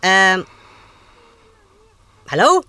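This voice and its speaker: A performer voicing a polite, tired, bewildered young male traveller in a comic animated fairy tale, speaking hesitantly. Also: mid-range voice, very slow, clean tone, no room sound, exaggerated voice. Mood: puzzled.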